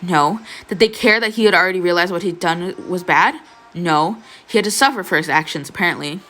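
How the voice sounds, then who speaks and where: A woman's voice: on the low side, fairly quick, a bit bright, faint music behind. A young adult woman reading fan fiction as an amateur narrator. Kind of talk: expressive scripted story narration, casual asides.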